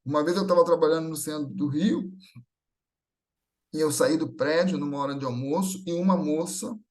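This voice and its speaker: A man's voice: a little high, moderate pace. A Brazilian man gives an informal religious talk, conversational, telling anecdotes with bits of acted-out dialogue and often checking for agreement. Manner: conversational, often seeking agreement with short tag questions; acts out everyday dialogue in anecdotes. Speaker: speaker at a Spiritist gathering.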